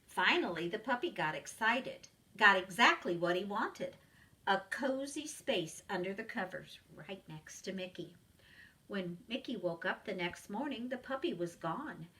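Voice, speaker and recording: A woman narrates a children's storybook with expressive, teacherly delivery. Her voice is bright and very lively in pitch, heard slightly away from the mic. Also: measured pace, recorded from a distance, low-quality recording. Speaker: preschool teacher reading aloud.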